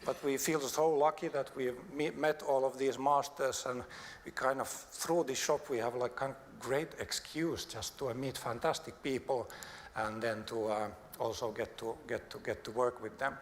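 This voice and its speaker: A man's voice: medium pitch, fairly even intonation. Man presenting in a reverberant, noisy hall. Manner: halting, searching for words. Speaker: designer giving a talk. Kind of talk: conference presentation. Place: large conference hall on stage.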